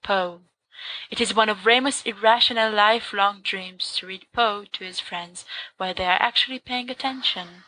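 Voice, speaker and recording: Bright-voiced woman reading fan fiction. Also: mid-range voice, moderate pace, rough recording. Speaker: reader. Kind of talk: audiobook fan fiction narration.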